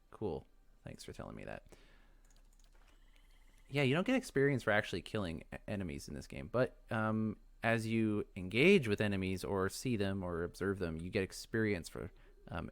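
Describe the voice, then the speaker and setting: A man giving casual video game commentary, rambling and musing aloud as he thinks things through. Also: lowish voice. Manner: rambling, musing, talks to himself. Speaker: let's play host.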